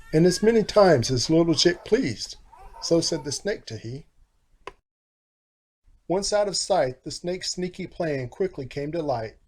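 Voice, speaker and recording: A man reading a children's storybook aloud in a theatrical, animated style with rhyming phrasing. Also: fairly high pitch. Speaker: storybook narrator, author.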